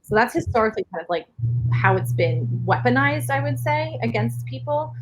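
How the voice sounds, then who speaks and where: A woman from New York City with a New York area accent, a speech therapist answering interview questions in a steady, explanatory way full of "like.". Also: on the high side, normal speed, slight background noise, poor audio. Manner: explanatory, steady, many fillers of "like". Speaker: speech-language pathologist guest.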